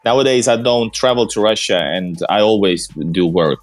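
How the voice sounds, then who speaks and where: Man, very low voice, halting casual talk, faint music behind. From Finland. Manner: hesitant, many fillers and restarts. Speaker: travel vlogger. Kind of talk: casual conversation.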